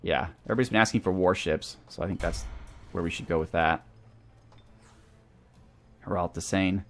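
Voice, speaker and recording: Low-voiced man doing game commentary. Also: moderate pace, fairly bright tone. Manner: thinking aloud, weighing options. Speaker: host of a gaming series. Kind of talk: casual video game playthrough commentary. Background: background music.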